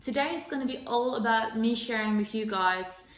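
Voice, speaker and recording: A woman's voice: fairly high pitch, moderate pace. Woman from denmark, earnest and enthusiastic, fillers and restarts, roomy echo. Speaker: wellness coach and host. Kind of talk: solo self-introduction on a sofa. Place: living room sofa.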